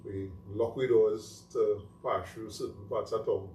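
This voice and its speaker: A man's voice: lowish voice, fairly even intonation, unhurried, darkish tone. A man with a Trinidadian accent chatting as a talk show guest, telling stories animatedly in Creole phrasing. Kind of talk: casual chat. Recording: echoey room, mic at a distance, faint noise.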